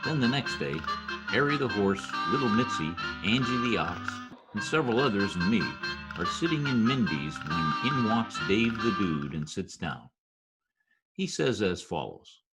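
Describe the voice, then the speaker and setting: Low-voiced wry Broadway wise guy played by a man. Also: very animated, moderate pace, over loud music, rough recording. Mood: wry, confiding. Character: wry Broadway wise guy narrator, slangy yet oddly formal. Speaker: narrator and actor in an amateur radio play recreation. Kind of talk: old-time radio storytelling in present tense.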